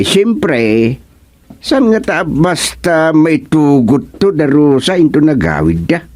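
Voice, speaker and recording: A chatty village neighbour in an Ilocano radio comedy. The delivery is unhurried and folksy, with an Ilocano accent and a middling pitch. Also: male voice, a little mellow. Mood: curious.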